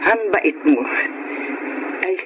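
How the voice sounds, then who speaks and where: Effusive motherly Filipino businesswoman, high-pitched and swooping, radio drama with noisy ambience. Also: lively intonation, drawn-out words, heavily acted. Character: grateful, gushing well-off businesswoman, older lady passenger.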